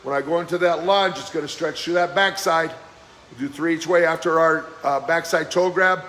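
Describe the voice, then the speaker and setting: A man leading a live-streamed workout as fitness coach, giving brisk, encouraging exercise cues in a slightly hoarse voice that keeps cracking. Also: a little high, a bit bright. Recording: echoey room with faint background noise.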